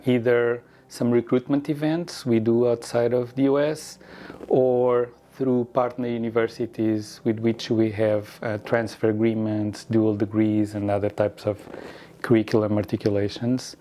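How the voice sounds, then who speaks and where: A middle-aged man, a university administrator, explaining in a measured, formal way during an interview. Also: medium pitch, dark tone.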